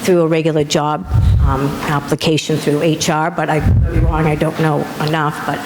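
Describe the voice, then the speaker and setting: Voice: mid-range voice, little pitch movement, normal speed, crowd noise, poor audio. A council member answering tentatively in a meeting, with many hedges. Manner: hedging, tentative. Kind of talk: meeting discussion. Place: council chamber.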